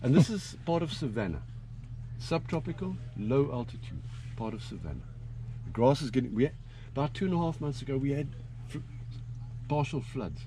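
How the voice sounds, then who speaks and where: A man's voice: mid-range voice, very animated, normal speed, pauses often, dark tone, a little hiss or hum. A man from South Africa with a South African accent, giving a chatty, joking guided commentary from an open safari vehicle outdoors.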